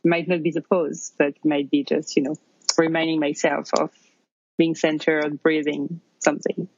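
A woman in her thirties from France with a French accent, telling her story in a casual interview, halting with many fillers. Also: deep voice, flat delivery, darkish tone, slightly rough, no echo, muffled recording. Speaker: interview guest.

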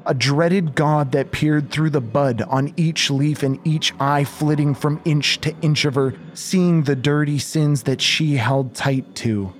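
A man narrating a scripted horror story in a measured, intense, literary style. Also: fairly high pitch, steady tone. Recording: faint background noise.

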